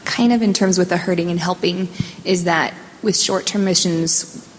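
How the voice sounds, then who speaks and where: A woman's voice: fairly low pitch, steady tone, moderate pace. A woman earnestly explaining her view to a group as a discussion participant. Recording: echoey hall, faint noise.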